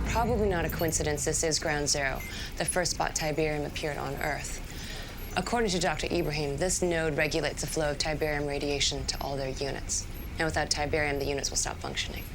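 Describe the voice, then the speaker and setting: Low-voiced military intelligence officer. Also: female voice, fairly quick, background noise. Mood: focused, urgent. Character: brisk, matter-of-fact female military intelligence officer. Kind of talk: dramatic video game cutscene briefing.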